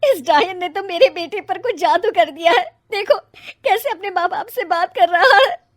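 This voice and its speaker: A woman voicing a sharp-tongued middle-aged mother-in-law who scolds loudly and bossily. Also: steady tone, fast talker, natural voice. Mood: bitter. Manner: shrill, loud and bossy.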